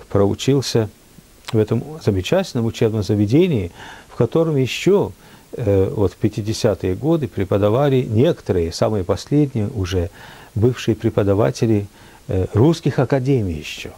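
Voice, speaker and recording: An older man from France reminiscing in a reflective, unhurried way, often pausing with hesitations. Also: fairly low pitch, mellow, husky. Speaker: speaker at a memorial. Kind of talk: reminiscing talk.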